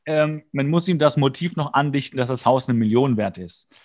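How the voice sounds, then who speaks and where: A man's voice: medium pitch, moderate pace. A man co-hosting a podcast, recapping an episode in casual chat with a jokey, rambling, wry manner. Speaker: podcast co-host.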